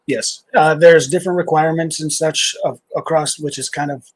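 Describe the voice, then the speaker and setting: Husky-voiced middle-aged male guest. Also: fairly high pitch, steady tone, no echo. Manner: halting, with many "uh"s and restarts. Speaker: nonprofit founder, interview guest. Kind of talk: casual interview answers.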